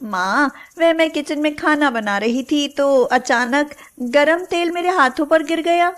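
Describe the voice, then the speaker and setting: Woman playing a high-voiced meek daughter-in-law. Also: measured pace. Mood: earnest, placating. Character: meek, long-suffering, dutiful young daughter-in-law. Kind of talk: melodramatic moral story voice acting.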